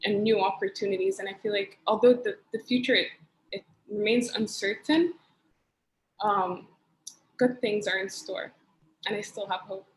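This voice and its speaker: A young woman speaking as a student panelist, reflective and soft-spoken, with fillers. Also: medium pitch, moderate pace, long pauses, low-quality recording. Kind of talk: casual panel discussion.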